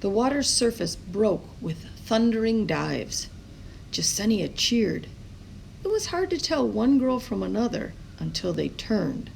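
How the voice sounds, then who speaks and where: A woman with a creaky voice reading a children's story aloud expressively, as a storyteller. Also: mid-range voice, darkish tone, a little hiss or hum, rough recording. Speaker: storybook reader.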